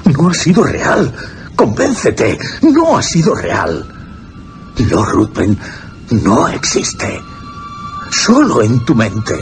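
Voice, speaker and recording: An actor playing an anguished, haunted gentleman in a Spanish radio horror play, with a Castilian accent and theatrical, agitated delivery. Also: mid-range voice, huge pitch swings, takes it slowly, long pauses, over music, exaggerated voice. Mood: frantic, anguished. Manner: theatrical, agitated self-talk. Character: anguished young gentleman tormented by a vampire's return.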